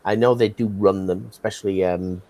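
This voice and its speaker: A man with a British accent and a lowish voice chats as a livestream guest. He is blunt yet reflective, reasoning things through as he goes. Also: fairly even intonation, normal speed, dark tone. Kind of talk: casual chat.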